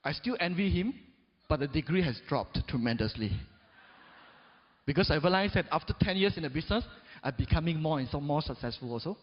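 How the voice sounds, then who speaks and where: A man's voice: expressive, fairly quick. Emphatic man speaking in a big hall. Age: 40s. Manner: peppers talk with "right?" and "yes or no?" to the audience; emphatic, swings between loud rises and low drops. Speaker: motivational speaker. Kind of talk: motivational stage speech. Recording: dull recording in a big reverberant hall.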